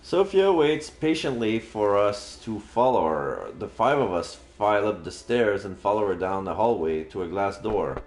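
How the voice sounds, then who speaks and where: A man streaming a read-aloud of a visual novel, narrating in an expressive storytelling style. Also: lowish voice, moderate pace, hardly any pauses. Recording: roomy sound, faint background music.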